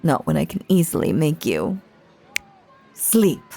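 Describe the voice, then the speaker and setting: A woman's voice: low-pitched, sing-song, unhurried, vocal fry, dark tone, full character voice. A woman playing a possessive, sinister stage magician mistress in an audio roleplay, theatrical and full of silky menace. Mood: coolly menacing. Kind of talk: dramatic audio roleplay acting.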